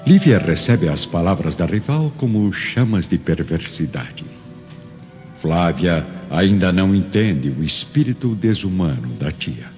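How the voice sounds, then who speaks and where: A man narrates a radio drama in a deep voice with a Brazilian accent. His scripted, dramatic delivery rings with long reverb over soft music. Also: very animated, a little slow. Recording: hall reverb, dull audio, music underneath.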